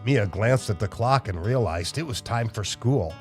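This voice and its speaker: A man reading aloud as a children's storytime host, animated and emphatic as he addresses young listeners. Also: fairly low pitch, normal speed, soft background music. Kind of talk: expressive children's read-aloud narration.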